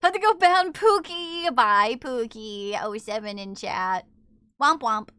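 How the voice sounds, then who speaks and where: Woman streamer, high and bright voice, animated and teasing, dry close sound. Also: slow pace, clear, resonant tone, dry recording. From not from Japan. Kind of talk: casual live-stream chat.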